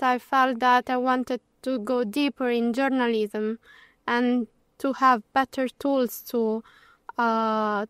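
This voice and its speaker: A woman in her late twenties from Rome with an Italian accent, introducing herself as a student in a slow, unhurried way. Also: high voice, smooth, dry recording, clean studio sound. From Rome, Italy. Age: late 20s. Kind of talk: self-introduction.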